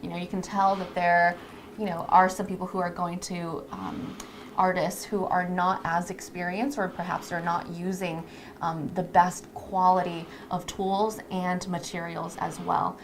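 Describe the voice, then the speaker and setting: A woman's voice: lowish voice, level tone, unhurried. A woman casually co-hosting a live stream, explaining things to the audience in a chatty, conversational way. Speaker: live stream co-host introducing a lash artist. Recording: clear background noise.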